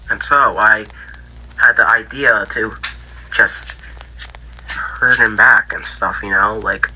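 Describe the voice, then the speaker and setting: A man voicing a bitter, grieving young pig character in a halting, stammering interview for an analog horror fan drama. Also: medium pitch, bright, forceful tone, dull audio, a little hiss or hum. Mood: bitter, vengeful. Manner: halting, stammering, repeats himself, trailing off. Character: grieving, bitter young male cartoon pig, infection survivor.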